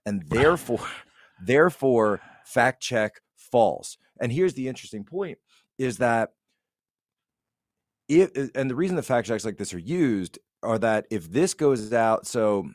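A man's voice: medium pitch, sing-song, measured pace, no room sound, clean studio sound. A man giving casual political commentary as a podcast guest, emphatic and repeating phrases to make his point.

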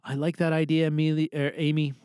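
A man's voice: on the high side, flat delivery, fast, a little mellow, clear, resonant tone, no room sound, clean studio sound. A man hosting an audio theatre podcast, chatting casually to listeners in a rambling way. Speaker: podcast host and voice performer.

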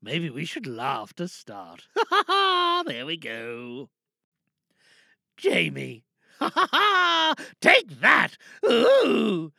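Presenter, casual and playful: animated, bright voice with some creak, jokey delivery, dry recording. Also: mid-range voice, extremely slow, no echo. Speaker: monologue performer and presenter. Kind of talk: casual, jokey chat around an audio drama recording.

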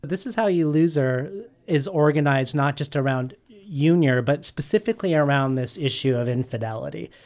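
A man's voice: on the high side, moderate pace, hardly any pauses, darkish tone. A man interviewing an author, asking long prepared questions in an earnest way with frequent restarts.

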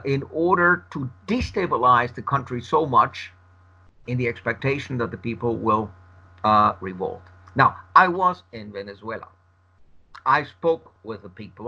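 A man whose first language is Spanish gives a long interview answer, arguing in a measured, emphatic way. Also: medium pitch, creaky, sharp tone, husky. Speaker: interview guest. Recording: dull lo-fi recording.

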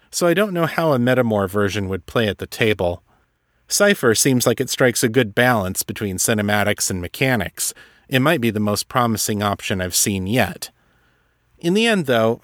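A man hosting a solo podcast, reading and answering listener letters in an articulate, measured, explanatory way. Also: medium pitch, a bit bright, no echo, studio quality. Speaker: podcast host and novelist.

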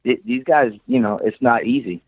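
A man's voice: mid-range voice, moderate pace, no echo. A man chatting casually as an interview guest, candid and slangy with free swearing, telling stories. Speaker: interview guest, creative director of a sneaker shop. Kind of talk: casual chat, storytelling. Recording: thin phone-like radio-quality line.

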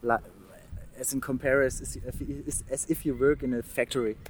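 A man's voice: medium pitch, level tone, a little fast, a little hiss or hum. A man from East Germany in his thirties, a German native speaker with a German accent, talking earnestly as an interview guest, often restarting words and laughing. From East Germany, Saxony. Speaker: guest, mime artist. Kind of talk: casual interview.

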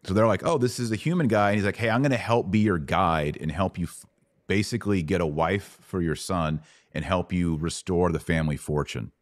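A middle-aged man with a low voice, recorded close and dry. He hosts a casual Bible Q&A podcast, explaining passages conversationally and voicing paraphrases in plain everyday speech. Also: fairly quick, no room sound. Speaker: podcast host answering Bible questions. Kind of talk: casual live Bible Q&A teaching.

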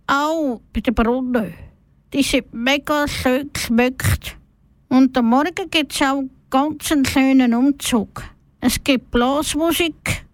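High-voiced Swiss German woman presenter. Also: dry recording. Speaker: radio presenter. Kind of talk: personal radio report, simply told.

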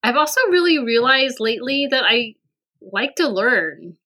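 A woman's voice: on the high side, expressive, a little slow, sharp tone. A woman speaking as a podcast guest in a casual conversation, hesitant and full of fillers and restarts. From Vietnam. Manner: hesitant, many fillers and restarts, laughs often. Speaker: podcast guest, university administrator.

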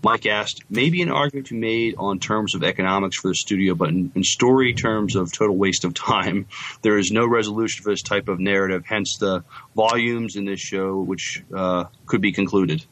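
A man co-hosting a podcast, chatting casually and reading comments with halting, hesitant phrasing. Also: fairly low pitch, a bit bright, low-quality recording. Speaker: podcast co-host.

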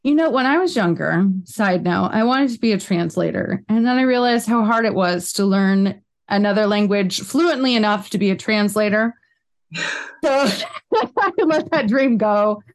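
A woman's voice: mid-range voice, expressive, moderate pace. An American woman in her thirties hosting a podcast interview, chatting casually with rambling side notes and frequent laughs. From United States. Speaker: podcast host.

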